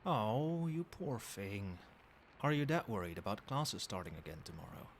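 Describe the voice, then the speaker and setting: Man playing a low, soft-spoken, possessive doting boyfriend. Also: normal speed. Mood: soothing, doting. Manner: coaxing, tender, pet names, quietly controlling. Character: possessive, doting yandere boyfriend, soothing yet menacing. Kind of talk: soft-spoken ASMR roleplay. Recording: steady background noise, night ambience. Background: rain and cricket sounds.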